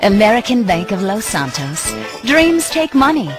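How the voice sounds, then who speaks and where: Woman playing a slick, animated ad announcer. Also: medium pitch, moderate pace, lightly acted. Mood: slick, upbeat. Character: slick, cheerfully pushy bank ad announcer. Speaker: commercial voice-over announcer. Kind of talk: parody bank advertisement, scripted. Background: music.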